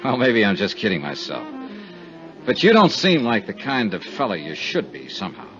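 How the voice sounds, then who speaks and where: Wry, low-voiced hard-boiled American investigator. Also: male voice, huge pitch swings, moderate pace. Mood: needling. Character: stubborn, sardonic male insurance investigator narrating his case. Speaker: lead actor and narrator. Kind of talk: 1950s radio detective drama acting. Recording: dull 1950s radio recording with music.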